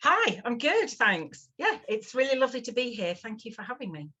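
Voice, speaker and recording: A woman's voice: a little high, big pitch swings, moderate pace, pushed and bright, lo-fi sound. Northern English woman in her fifties. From northwest England. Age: 50s. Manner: chatty, with many fillers and restarts. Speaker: guest. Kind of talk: casual interview chat.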